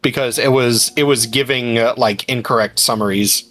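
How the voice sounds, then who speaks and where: A man chatting casually on a livestream, explaining his points in a halting way. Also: mid-range voice, fairly even intonation, fairly bright tone, soft background music, poor audio.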